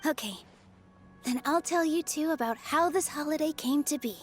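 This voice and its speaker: A woman voicing a cheerful, earnest young female dancer in a video game, expressive and eager. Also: high voice, normal speed, soft background music, lightly acted. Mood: storytelling, warm. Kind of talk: video game character acting.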